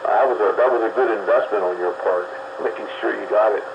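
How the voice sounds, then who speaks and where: A man's voice: lowish voice, a little fast. A man hosting a radio show, chatting casually with many fillers. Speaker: radio host. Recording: dull lo-fi radio sound with hiss.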